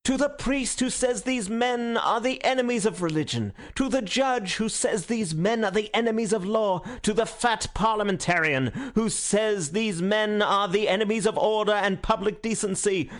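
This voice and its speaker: A man's voice: sits high, vocal fry, pitched above the performer's own voice. A man voicing a fiery, defiant young orator in a storybook, declaiming with thunderous rhetoric.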